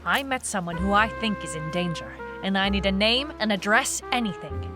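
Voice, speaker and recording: A woman's voice: mid-range voice, moderate pace, lightly acted. An actress playing a hardboiled young woman private eye, wry and businesslike, in a noir audio drama. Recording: music bed under the voice. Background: music.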